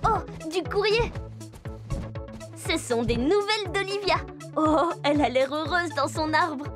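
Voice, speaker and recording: Bubbly young girl explorer, high bright-eyed cartoon lead, curious, friendly to animals, music behind. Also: a little slow. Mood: amused. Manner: bubbly, addresses young viewers directly. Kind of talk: children's cartoon dialogue.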